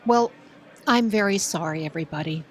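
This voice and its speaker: A woman's voice: medium pitch, fairly quick. A woman trading playful, cheeky casual banter as a podcast panelist.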